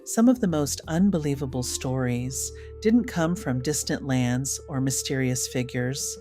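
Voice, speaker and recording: A woman's voice: extremely low pitch, measured pace, warm tone, soft background music. A woman host giving scripted narration in a conversational storyteller's address to listeners. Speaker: channel host.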